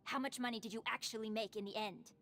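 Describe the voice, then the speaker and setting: A woman's voice: on the high side, fast talker, fairly bright tone, faint music behind. A woman voicing a salt seller's exasperated, nagging wife in a video game, accusatory and scolding.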